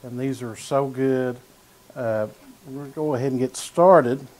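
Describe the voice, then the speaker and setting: Man, 30s, cooking show host; slow, relaxed demo patter, mid pitch, lapel mic in a studio kitchen. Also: rough recording. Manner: easygoing, talks while stirring and slicing, frequent "uh" and "gonna". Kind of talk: cooking demonstration. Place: home-style studio kitchen, indoors.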